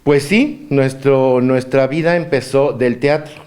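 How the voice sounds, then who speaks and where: A man's voice: mid-range voice, measured pace, a little mellow. A man in his fifties with a Mexican Spanish accent, a guest telling stories in a campy, playful way. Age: 50s. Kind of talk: casual chat.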